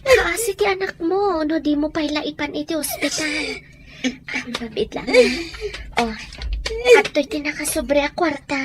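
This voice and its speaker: Actress as kind dutiful daughter, generous, caring, audio drama, faint noise and ambience behind. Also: female voice, high voice, unhurried. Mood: concerned. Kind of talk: Ilocano audio drama acting.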